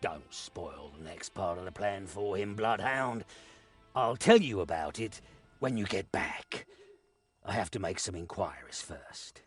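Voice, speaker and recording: A scheming fantasy-game commander with a low, husky voice. He speaks with measured authority and expressive swings in pitch, over faint music. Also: heavily acted. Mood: resolute scheming. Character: shrewd, commanding fantasy war leader.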